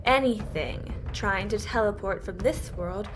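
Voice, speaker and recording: A woman voicing a proud, imperious empress with a haughty, measured, theatrical delivery in a fantasy audio drama, over a noisy ambient bed. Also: medium pitch, music in the background, natural voice. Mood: haughty, puzzled.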